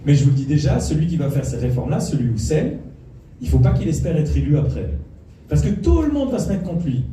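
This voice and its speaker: A man's voice: on the high side, big pitch swings, quick pace, mellow. A man giving an impassioned political speech, insistently repeating his key points. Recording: echoey hall from far off, faint noise.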